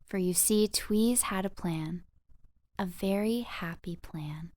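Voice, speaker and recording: A woman narrating a scripted children's audiobook with expressive bedtime storytelling. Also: medium pitch, slow, dry recording.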